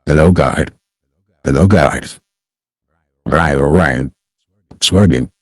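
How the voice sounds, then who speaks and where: A man voicing an easygoing movie narrator through a pitched-down voice changer, casually testing the microphone. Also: slow. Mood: relaxed, testing. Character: slow, very deep male movie narrator.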